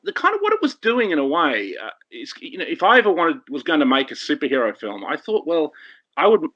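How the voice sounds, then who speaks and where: Fast-talking man on a dull lo-fi line. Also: a little high, huge pitch swings, sharp tone. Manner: rambling, opinionated, quick. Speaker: guest filmmaker. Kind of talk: podcast discussion.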